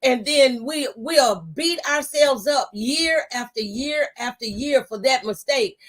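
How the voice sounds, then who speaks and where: A woman's voice: high-pitched, takes it slowly, a bit bright. A middle-aged woman giving a motivational faith testimony, speaking in an emphatic, exhorting way and repeating phrases for effect. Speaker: talk show panelist giving testimony.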